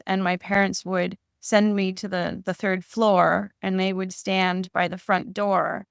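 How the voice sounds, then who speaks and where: A middle-aged woman speaking as a podcast guest, candid and emotional, pausing and correcting herself as she thinks aloud. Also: mid-range voice, gravelly, a bit bright, clean tone, no echo, studio quality. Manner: thoughtful, candid and emotional, with pauses and self-corrections. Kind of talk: reflective interview conversation.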